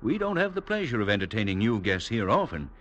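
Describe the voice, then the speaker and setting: A man's voice: on the low side, sing-song, fast, fairly bright tone. A man playing a courtly, evasive doctor who hosts strangers, with formal, hesitant politeness in 1940s radio acting style. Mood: politely insistent. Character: courtly, evasive doctor, mysterious host on remote island. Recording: faintly noisy vintage radio recording.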